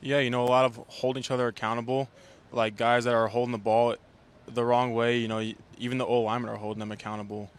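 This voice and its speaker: A man's voice: medium pitch, level tone, fast talker. A young man from Hawaii who plays college football, answering interview questions in a modest, easygoing way. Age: young adult. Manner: modest, easygoing, fills with "you know".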